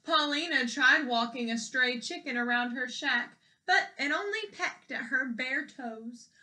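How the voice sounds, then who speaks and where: Female storyteller for young children: crisp bright tone, higher pitch, expressive and explanatory delivery. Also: low-quality recording. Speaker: storyteller reading a picture book aloud. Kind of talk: expressive children's read-aloud with questions to listeners.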